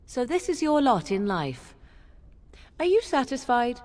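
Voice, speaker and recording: Slow, swooping, scornful witch. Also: high-pitched, big pitch swings, a little mellow. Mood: mocking. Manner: mocking, theatrical, drawling. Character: haughty, sharp-tongued witch, a scornful companion. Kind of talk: fantasy game voice acting. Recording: long hall reverb.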